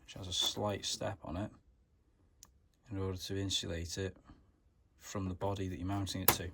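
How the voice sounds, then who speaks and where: Male DIY mechanic vlogger, low and monotone, informal step-by-step delivery, noticeable background noise. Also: moderate pace, creaky. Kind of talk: casual hands-on tutorial narration.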